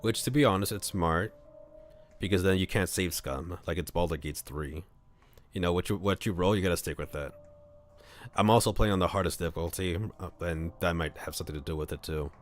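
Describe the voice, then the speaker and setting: Very deep-voiced man narrating a visual novel. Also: fairly quick, soft background music. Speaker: streamer reading a visual novel aloud. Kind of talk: livestream narration of a visual novel with casual asides.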